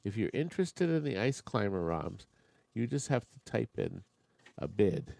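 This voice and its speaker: A man's voice: fairly low pitch, big pitch swings, normal speed, creaky, darkish tone, no room sound. A man hosting a live online auction, chatting with bidders and making joking asides while losing his voice. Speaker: auctioneer and show host.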